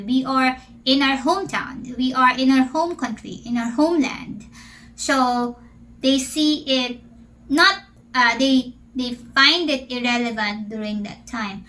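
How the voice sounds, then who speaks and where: A woman's voice: fairly high pitch, sharp tone, low-quality recording. A Filipino woman, an English teacher who grew up speaking Filipino, chatting casually in a Q&A vlog, asking questions and stretching her words. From Philippines. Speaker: English teacher and channel host.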